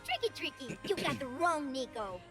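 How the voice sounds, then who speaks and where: A woman's voice: high voice, sing-song, normal speed, over music. A woman voicing a playful, eager young shapeshifting chameleon creature in a video game, with quirky broken grammar. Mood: teasing, smug. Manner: quirky broken grammar, third-person self-reference. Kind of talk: video game character voice lines.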